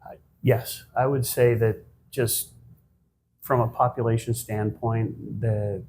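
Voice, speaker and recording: A man in his fifties, a guest on a business podcast, answering in a measured, reflective way with frequent pauses and restarts. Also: mid-range voice, fairly even intonation, darkish tone, a little hiss or hum. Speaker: guest, managing partner of an accounting firm. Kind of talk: conversational podcast interview.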